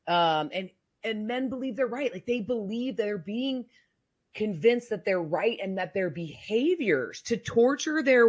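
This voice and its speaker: A woman with a very low voice telling her personal story in a podcast conversation, reflective and halting with many restarts. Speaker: guest sharing her story.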